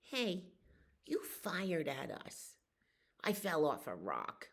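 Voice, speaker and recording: Bossy little pond frogs who know everything, as a woman puts them on while reading aloud. Their voices are high and teasing and sometimes drop to a whisper, in a slow picture-book reading. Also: wildly swooping pitch, slightly rough, heavily acted. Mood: complaining.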